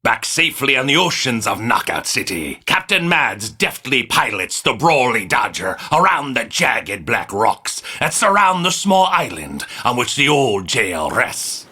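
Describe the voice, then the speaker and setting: Animated, bright-voiced cartoon radio DJ. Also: male voice, medium pitch, unhurried, few pauses, slightly rough, exaggerated voice. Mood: dramatic storytelling. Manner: animated, chatty, showy. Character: excitable, jumpy male pirate-radio DJ living alone on a moon base. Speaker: radio DJ and narrator. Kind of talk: theatrical announcer storytelling.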